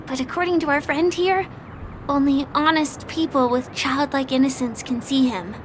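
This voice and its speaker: A woman's voice: high-pitched, steady tone, normal speed, slight background noise, natural voice. A woman playing a polite, earnest and curious young woman in a video game. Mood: explaining. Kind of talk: video game dialogue acting.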